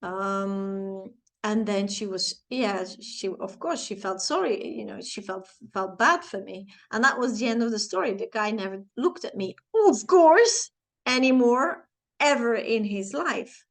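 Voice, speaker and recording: A woman in her forties, a native Flemish speaker with a Flemish accent, telling a personal story to camera with halting, searching phrasing and playful sound effects. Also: mid-range voice, expressive. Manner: halting, searching for words, many restarts and fillers. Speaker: channel host, life coach.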